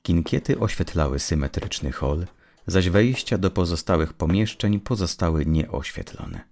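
A man reading scripted narration of a dark story as an audiobook narrator. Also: sits low, animated, moderate pace.

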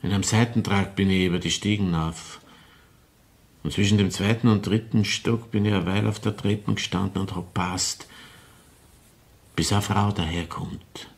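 An actor playing a bitter, woman-hating killer in a crime radio drama, confessing deliberately in Viennese dialect. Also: deep voice, steady tone, creaky. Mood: matter-of-fact, cold. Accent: Viennese Austrian. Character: confessing opera-house murderer, brooding, bitter woman-hater in his thirties.

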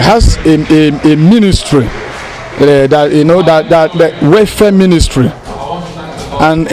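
Male interviewee, forties, Nigerian accent, somewhat high pitch, repeats phrases as he explains. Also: a little mellow, low-quality recording. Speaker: pastor, interviewee. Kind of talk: spoken interview answers. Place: outdoors beside a house wall. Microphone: handheld interview microphone.

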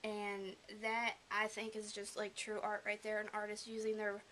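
A young woman with a regional American accent, gushing softly and emotionally as she unboxes a gift in a small room at home, filmed on a webcam. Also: medium pitch, completely flat delivery, moderate pace, a little hiss or hum. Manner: gushing, emotional, soft-spoken and rambling. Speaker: vlogger. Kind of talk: casual unboxing vlog.

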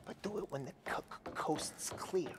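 A man's voice: on the high side, animated, moderate pace, background noise, lightly acted. An actor playing a nervous young male mechanic in a video game, stuttering on first sounds.

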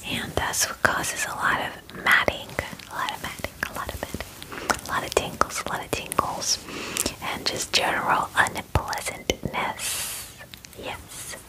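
A woman in her twenties voicing a gentle, attentive werewolf spa groomer in a soothing ASMR roleplay, whispering breathily and softly repeating words with hesitant pauses. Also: natural voice, rough recording. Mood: soothing, reassuring. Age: 20s. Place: small dim indoor room. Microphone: close microphone, facing camera.

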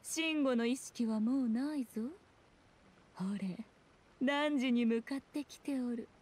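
A woman's voice: sits high, takes it slowly, a little mellow. A woman voicing a haughty, sly fox-spirit shrine maiden in an anime-style game, with archaic, composed, scornful speech. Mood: calm, commanding.

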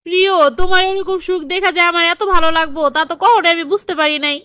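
Cartoon ex-girlfriend woman actor: extremely high pitch, Bangladeshi accent, smug, gossipy, sugary sarcasm. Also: steady tone, fast, sharp tone, no echo, clean studio sound. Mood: smug, mocking. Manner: gossipy, mock-sweet sarcasm. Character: gleeful, gossipy jilted young ex-girlfriend, smugly sarcastic. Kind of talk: comic cartoon dubbing.

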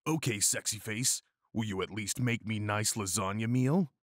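A man's voice: on the low side, normal speed, no echo, studio quality, lightly acted. A man voicing a macho, righteous cat hero in a comic story, pompous and declamatory. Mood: flirty. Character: macho, bombastic, lasagna-obsessed male cat hero, righteous and flirty.

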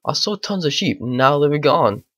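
A man, hoarse from a bad cold, rambling through casual let's play commentary at home. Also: on the high side, animated, unhurried, a bit bright, no echo, studio quality. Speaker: gaming channel host.

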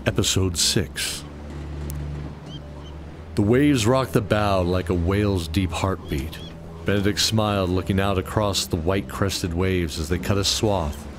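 A man's voice: low voice, background noise. A man reading scripted dramatic narration as the narrator of a fantasy story.

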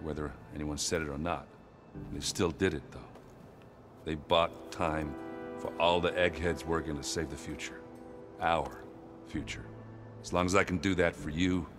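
An actor playing a gruff, good-hearted, self-deprecating soldier in a video game, talking in a hesitant, joking but sincere blokey way. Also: male voice, rumbling low, fairly even intonation, normal speed. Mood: reflective, sincere. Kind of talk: video game dialogue acting. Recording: prominent music behind the voice.

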